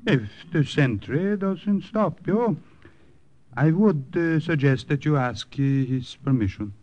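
An actor playing a cautious older Norwegian underground leader, halting and dry, in an old radio drama. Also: male voice, a little high, lively intonation, a little mellow. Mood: dry, measured. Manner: halting, slow, measured. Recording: dull old radio recording.

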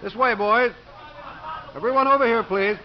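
An actor playing a mild, cheerful college priest in a 1950s radio drama, genial and gently understated. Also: male voice, very high voice, moderate pace. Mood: brightly encouraging. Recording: dull, noisy 1950s radio recording.

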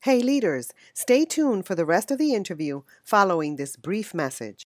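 Soft-spoken woman podcast host, dry sound. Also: on the low side, animated, moderate pace, no echo. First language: Spanish. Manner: warm, affirming, brief responses. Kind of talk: interview hosting.

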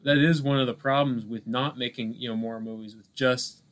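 A man's voice: mid-range voice, fairly even intonation, moderate pace, a bit bright. A man co-hosting a podcast, talking casually about film in a hesitant, circling way with many restarts. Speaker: podcast co-host.

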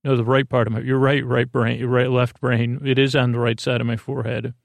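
A man hosting a bedtime podcast in a creaky voice, with a soothing, halting, meandering delivery that drifts into tangents. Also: medium pitch, level tone, dry recording. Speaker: podcast host. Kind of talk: rambling bedtime podcast monologue.

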